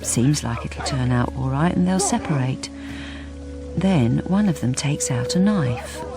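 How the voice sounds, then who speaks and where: An actress playing a lonely, downtrodden wife in a radio drama, with a bleak, understated delivery. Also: female voice, low voice, lively intonation, unhurried, over music. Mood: bleak, haunted. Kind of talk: first-person radio drama narration.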